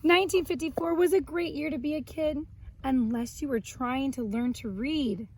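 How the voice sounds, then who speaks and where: A woman reading a picture book aloud to young children, expressive, pausing to explain words simply. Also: sits high. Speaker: children's read-aloud host and art teacher.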